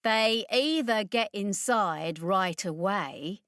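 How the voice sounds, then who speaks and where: A woman's voice: medium pitch, lively intonation, slow, fairly bright tone, no room sound, studio quality. A woman voicing a blunt, sardonic woman who speaks drily.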